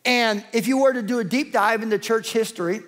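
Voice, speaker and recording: A male pastor in his forties preaches from a large church stage, his voice sitting notably high for a man. He talks emphatically and builds his points through repetition. He is picked up close on a headset mic. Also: brisk, fairly bright tone. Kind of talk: sermon.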